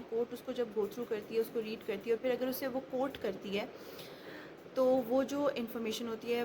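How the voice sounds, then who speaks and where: Higher-voiced Pakistani woman lecturing over noise. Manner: instructive, repeats and rephrases points. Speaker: law lecturer and advocate. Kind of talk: explanatory lecture mixing Urdu and English. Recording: clearly noisy background ambience.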